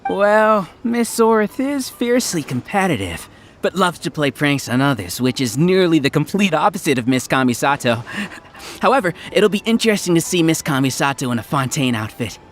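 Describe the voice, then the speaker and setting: A man's voice: on the high side, sing-song, normal speed. An actor playing a chatty, curious townsman in an anime game, with a conversational, musing manner. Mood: surprised, musing.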